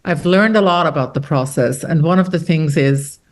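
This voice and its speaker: A middle-aged woman from Austria, an author talking about her novel in an interview, animated and quoting others as she tells stories. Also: low-pitched, normal speed. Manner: animated storyteller, quotes others with lively shifts. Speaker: author guest. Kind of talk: conversational interview.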